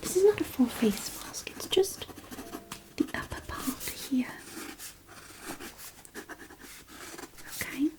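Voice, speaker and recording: A woman playing a kindly, chatty fairy craftswoman in a fantasy ASMR roleplay, softly whispering in a nurturing, slightly hesitant way. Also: high voice, animated, a little slow. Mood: attentive, kindly.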